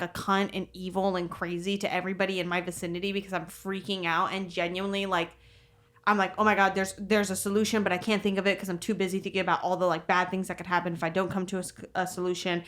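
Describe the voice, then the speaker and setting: A woman's voice: medium pitch, level tone, fairly quick, fairly bright tone. A young woman co-hosting a podcast in casual comedic banter, blunt and teasing, swearing freely. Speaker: podcast co-host.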